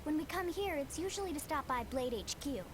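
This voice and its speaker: High-pitched, bubbly young recruiter girl. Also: animated, a little fast, noisy background. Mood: enthusiastic explaining. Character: eager, chatty young female recruiter, bubbly and pushy. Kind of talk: video game cutscene voice acting.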